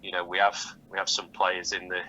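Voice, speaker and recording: Deep, monotone man on a dull phone call. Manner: halting, hesitant phrasing with repeated words. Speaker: football manager being interviewed. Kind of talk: phone interview, measured answers. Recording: dull, lightly noisy phone call audio.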